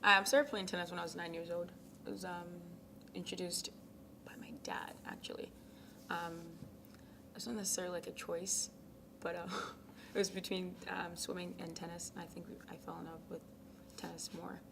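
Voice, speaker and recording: A young woman from Ghana speaks in a low, fairly flat voice. She answers interview questions casually and softly, full of ums, and sometimes trails into a near whisper. Clear background noise sits under the recording. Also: moderate pace. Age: young adult. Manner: soft-spoken, many ums, drops to near whispers. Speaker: college tennis captain. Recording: noisy background.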